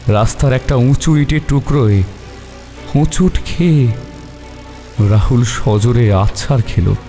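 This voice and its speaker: A man's voice: medium pitch, expressive, a little slow, dark tone. A man narrating a scripted horror story in a dramatic storytelling style. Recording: long reverb, background music bed.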